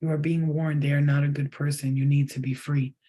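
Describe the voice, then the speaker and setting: A man's voice: on the high side, flat delivery, brisk, dark tone, slightly rough. A man giving a channeled psychic reading to viewers, talking straight to them, emphatic and repetitive. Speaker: psychic reader.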